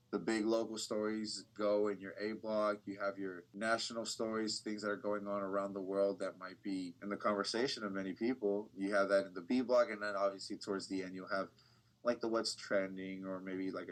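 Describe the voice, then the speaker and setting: A young man working as a TV news reporter, talking in a relaxed, rambling way with many fillers and restarts. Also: lowish voice, rough recording. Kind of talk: casual interview conversation.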